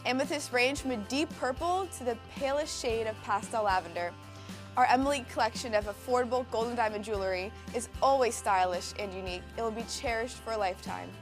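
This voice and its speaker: A woman reading a scripted commercial in a smooth, polished voice. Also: on the high side, brisk, faint music behind. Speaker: ad voice-over.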